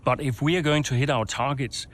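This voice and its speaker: An actor playing a racing team boss who talks in a persuasive, reassuring way. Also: male voice, medium pitch, fairly even intonation, fairly quick, fairly bright tone, lightly acted.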